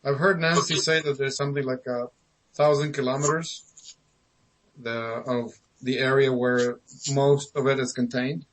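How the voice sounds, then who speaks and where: A man from Argentina, a guest on a radio talk show, giving calm, brief answers to questions. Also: mid-range voice, unhurried, slight background noise, low-quality recording. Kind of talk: conversational interview answers.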